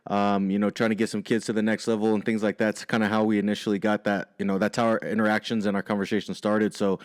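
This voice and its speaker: A man's voice: fairly low pitch, level tone, fast. A man hosting a podcast, welcoming his guest in a friendly, rambling way with many fillers. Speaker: podcast host. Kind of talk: casual interview hosting.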